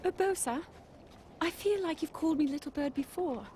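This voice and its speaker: A woman playing an earnest, gentle young princess with formal, courteous speech in a game cutscene. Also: sits high, moderate pace, slight background noise, natural voice. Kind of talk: dramatic game cutscene acting.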